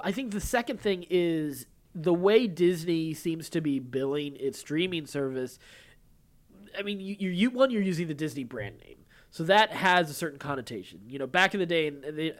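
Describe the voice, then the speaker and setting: A man hosting a podcast, moving between reading news and casually explaining his take in a talkative way. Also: fairly high pitch. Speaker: podcast host.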